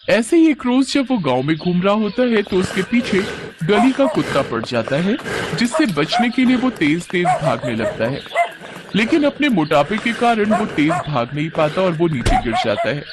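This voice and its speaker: A man's voice: sits high, very animated, moderate pace, very noisy. A man narrating a scripted children's moral story.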